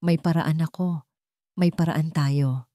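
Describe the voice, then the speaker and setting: A woman's voice: sits low, fairly even intonation, slow, dark tone, dry recording, studio quality. A woman voicing a hesitant, guilty grown son who talks evasively, in an audio story. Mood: reassuring.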